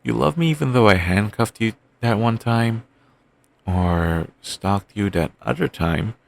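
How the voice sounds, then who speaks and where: A man playing an obsessive, doting yandere boyfriend in an audio roleplay, talking softly and rambling on with endearments. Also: lowish voice, gravelly. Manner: soft, murmuring, slow and rambling endearments.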